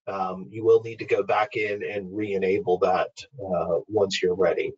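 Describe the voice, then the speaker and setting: A man presenting an informal, step-by-step software walkthrough in an explanatory, instructional way, as a webinar trainer. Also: deep voice, flat delivery, unhurried, slightly rough, dull audio. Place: online webinar screen-share.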